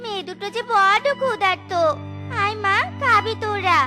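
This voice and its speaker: A woman's voice: very high-pitched, fairly even intonation, moderate pace, over music. A woman voicing a devoted, hardworking poor beggar wife and mother in a children's cartoon, with a childlike voice. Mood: caring.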